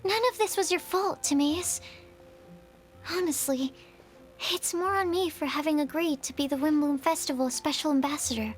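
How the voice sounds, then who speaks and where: A woman voicing a gentle, timid, kindhearted young woman in an anime-style game. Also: high-pitched, normal speed, faint music behind, lightly acted. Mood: concerned, apologetic.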